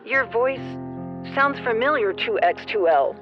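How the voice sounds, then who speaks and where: A woman's voice: on the high side, expressive, unhurried, over music. A woman playing a breezy, self-satisfied suburban former radio host in a comedic radio drama. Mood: curious. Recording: dull, noisy ham radio sound.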